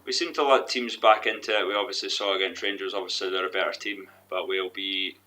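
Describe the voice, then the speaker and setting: A young man commentating his own football management game in a rambling, hesitant way, his voice a little hoarse from losing it. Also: low-pitched, fairly even intonation, brisk, pushed and bright, slight background noise. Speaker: gaming commentator. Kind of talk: let's play commentary. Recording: faint background music.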